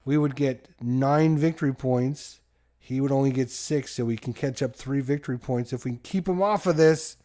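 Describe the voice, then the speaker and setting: A man hosting a solo board game playthrough, giving excited play-by-play to his viewers like a sports announcer. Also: medium pitch, normal speed. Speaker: board game channel host.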